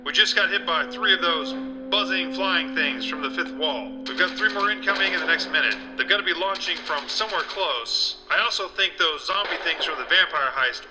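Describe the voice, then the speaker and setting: An actor playing a keen detective reporting urgently over a radio in an audio drama. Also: male voice, on the high side, moderate pace, over music, rough recording. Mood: urgent, dry.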